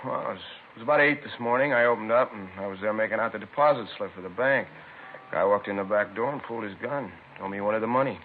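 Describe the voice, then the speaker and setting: Actor as fast, choppy American shopkeeper witness. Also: male voice, fairly low pitch, natural voice. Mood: matter-of-fact, rattled. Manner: terse, choppy, colloquial. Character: plain-spoken male liquor store keeper, robbery victim. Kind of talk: 1950s radio police drama acting. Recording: tinny, lightly noisy 1950s radio recording.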